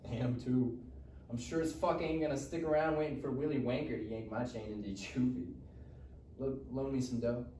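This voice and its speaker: Cocky broke teen, played by a man, slangy and taunting, soft mellow tone, roomy distant recording. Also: medium pitch, normal speed, natural voice. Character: cocky, foul-mouthed broke teenage boy, needling his buddy. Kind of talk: live table read of comedy screenplay. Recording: roomy, distant mic, faint noise.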